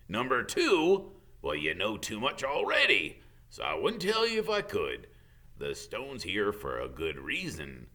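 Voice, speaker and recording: A man acts out a big, gruff gamekeeper from a storybook. He is secretive and bumbling, mumbling through hesitations. The voice swings widely in pitch and has a bright, forceful edge. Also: huge pitch swings, a little slow, heavily acted. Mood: defensive. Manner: hesitant, mumbling with many uhs.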